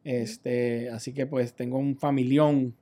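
Male pastor, mellow tone, unhurried and thoughtful, lots of fillers. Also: medium pitch, level tone. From Puerto Rico. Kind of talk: casual podcast conversation.

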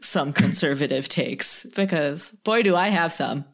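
A woman's voice: deep voice, unhurried. A woman hosting a podcast in casual conversation, with a joking, dry wit. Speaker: podcast host. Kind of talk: casual chat.

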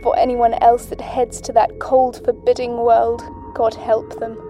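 A grave woman astronaut, played by an actress, delivers a sombre message as the last survivor in an audio drama. Her voice sits a little high and stays very even and measured. Clear music runs behind her ominous words. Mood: grave, haunted. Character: grave, shaken woman astronaut doctor, sole survivor of expedition. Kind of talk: audio drama monologue, recorded message home.

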